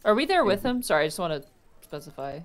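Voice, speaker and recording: A woman's voice: mid-range voice, very animated, fast talker. A woman chatting casually as a player in a tabletop role-playing game.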